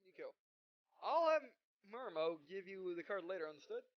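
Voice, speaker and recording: A man with a high voice plays a game and talks through it casually. He reads dialogue aloud haltingly, tripping and correcting himself. The recording is dull and lo-fi. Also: sing-song, no room sound. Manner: halting, stumbling read-aloud with self-corrections. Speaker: gaming video host. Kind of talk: casual let's play, reading dialogue aloud.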